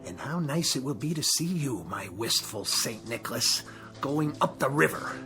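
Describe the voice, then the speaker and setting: An actor playing a pompous, accusatory store owner with haughty, sarcastic, ornate phrasing in radio drama style. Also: male voice, mid-range voice, sing-song, normal speed, music in the background. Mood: gloating.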